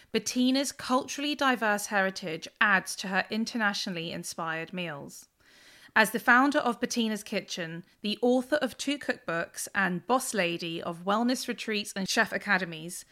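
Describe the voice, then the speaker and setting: A woman's voice: mid-range voice, fairly bright tone. Chatty woman hosting a podcast. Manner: reads a scripted intro, then chats casually and laughs along. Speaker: podcast host. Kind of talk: podcast interview.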